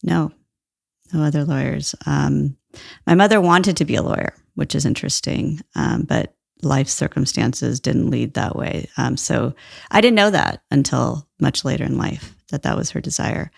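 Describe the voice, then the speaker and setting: A woman's voice: low voice, normal speed, vocal fry, no echo, studio quality. A middle-aged woman from Brazil, a lawyer guest on an interview podcast, speaking thoughtfully and laughing easily. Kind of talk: casual interview talk.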